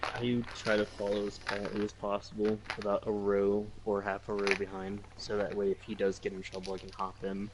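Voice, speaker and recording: A man's voice: fairly low pitch, monotone, normal speed. A man talking casually as a player in a tabletop role-playing game, over a noisy mic with clicking and typing.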